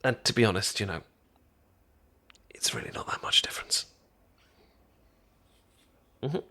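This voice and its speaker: A British man playing a caring, chatty best friend in an intimate ASMR roleplay, talking casually with halting, stammering explanations. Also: fairly low pitch, animated, sharp tone, exaggerated voice. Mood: relaxed, instructive. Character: caring, chatty male best friend teaching sushi making.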